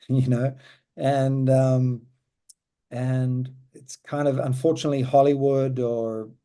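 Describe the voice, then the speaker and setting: An Australian man, a musician guest, chatting casually in an interview, pausing and repeating words as he thinks. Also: medium pitch, level tone, dark tone. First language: German. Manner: thoughtful, repeats words as he gathers thoughts.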